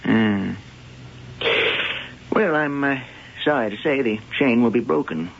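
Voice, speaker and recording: An actor playing a reserved, sceptical museum professor in a radio mystery drama, speaking in a measured, hesitant way. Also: male voice, mid-range voice, creaky. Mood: reserved, apologetic. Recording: muffled, noisy 1980 radio broadcast.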